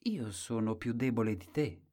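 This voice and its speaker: A man's voice: mid-range voice, normal speed, dark tone, close to an everyday voice. A man voicing a meek, candid and eager court fool in a storybook tale, simple and earnest in manner.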